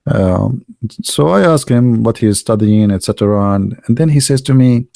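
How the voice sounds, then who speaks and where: A man who speaks Arabic natively, hosting a livestream in non-native English, telling anecdotes and chatting casually in an animated, gravelly voice. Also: medium pitch, moderate pace, no echo. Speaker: livestream host. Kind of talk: casual anecdotes and chat with live viewers.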